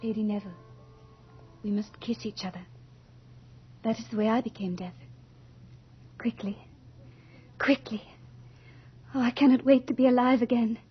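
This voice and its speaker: An actress playing a young, beautiful female Death in a radio horror drama, theatrical and deliberate, capricious and longing to be mortal. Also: a little high, steady tone, close to an everyday voice. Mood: eager, yearning. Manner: theatrical, deliberate, breathy at moments. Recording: dull old radio drama with music.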